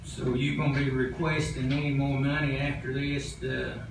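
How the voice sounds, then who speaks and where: A man puts blunt, pointed questions at a public meeting. His mid-pitched voice comes from far off the mic in a very echoey room. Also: little pitch movement, moderate pace, vocal fry, noisy background.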